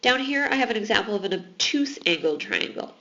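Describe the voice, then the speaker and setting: A woman's voice: mid-range voice, big pitch swings, a little fast, sharp tone, dull audio, a little hiss or hum. A woman teaching math in a recorded lesson, explaining patiently while losing her voice. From Alberta, Canada.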